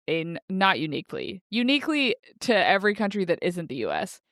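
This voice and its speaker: Lively, lower-pitched woman podcaster. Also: brisk, no echo, clean studio sound. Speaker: podcast co-host. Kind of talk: casual book-podcast chat.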